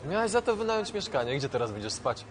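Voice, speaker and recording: A man's voice: a little high, sing-song, fast talker. An exasperated young man whose voice swings up and down as he scolds. The background is full of market noise. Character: young man scolding a reckless older woman. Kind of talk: film acting.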